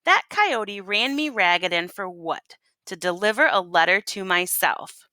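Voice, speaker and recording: A woman's voice: medium pitch, animated, unhurried, bright, forceful tone, no echo, studio quality. A woman voicing a brave, honest young male cowboy in a storybook, with folksy Western talk. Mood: exasperated.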